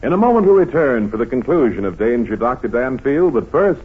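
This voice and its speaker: A male radio announcer in the 1940s manner, with a dramatically varied pitch. The sound is thin and tinny, with music playing behind him. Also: very animated, a little fast, breathy edge.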